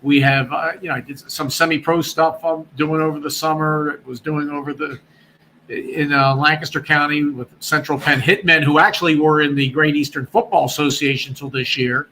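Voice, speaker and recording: A man's voice: on the high side, fairly even intonation, normal speed, sharp tone, slight background noise, poor audio. A man, a former radio broadcaster talking as a guest in a rambling, chatty way. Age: 60s. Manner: rambling, chatty, many restarts. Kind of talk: casual chat on a call-in show.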